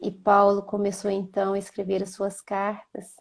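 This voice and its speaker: A woman with a Minas Gerais accent hosting a live video call, chatting and then leading a slow, gentle prayer. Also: medium pitch, completely flat delivery, vocal fry. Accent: Minas Gerais Portuguese. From Minas Gerais, Brazil. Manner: slow, gentle and devout when praying. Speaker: host.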